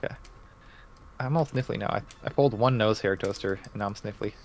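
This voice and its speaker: A man's voice: lowish voice, brisk, slight background noise. A man chatting casually and with joking sarcasm as he plays a co-op speedrun, keyboard clicking behind him. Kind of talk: casual chat while gaming. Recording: faint keyboard clatter and clicks.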